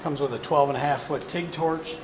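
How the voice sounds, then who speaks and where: Male demonstrator, casual and practical, recorded in an echoey workshop with loud fan hum. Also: on the high side, steady tone, a little fast. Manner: informal, plain-spoken, practical. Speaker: product reviewer, welding demonstrator. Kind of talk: casual hands-on product demonstration. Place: indoor workshop demo area with hanging curtains. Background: machine fan and ventilation noise.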